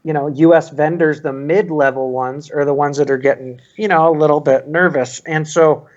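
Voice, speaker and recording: A man's voice: on the high side, fairly even intonation, normal speed, a little mellow, highs cut off. An American man chatting casually in a rambling, conversational way with lots of filler phrases. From United States. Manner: rambling, frequent "like" and "you know". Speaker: vaping talk show panelist. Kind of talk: casual chat.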